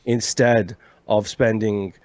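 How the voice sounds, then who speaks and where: A man co-hosting a video game podcast, talking in a mostly monotone voice while venting his frustrations in casual chat. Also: on the low side, slow pace. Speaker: podcast co-host.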